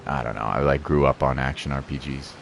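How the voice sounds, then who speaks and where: A man with an extremely low-pitched voice chats casually as he streams a game. He rambles along in an easygoing, playful way, with soft music behind him. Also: steady tone, a little fast, creaky. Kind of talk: casual livestream chat.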